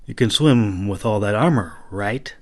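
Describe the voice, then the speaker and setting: A man's voice: lowish voice, animated, unhurried, a little mellow. A man plays a mischievous wanderer in a storybook tale. He teases dryly and takes his time. The voice stays everyday and understated, with a sly edge. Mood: dry mischief.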